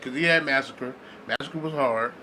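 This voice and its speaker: A man's voice: medium pitch, moderate pace, bright, forceful tone, slight background noise, poor audio. A man co-hosting a casual podcast debate, arguing in profane slang and repeating words for emphasis. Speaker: podcast co-host.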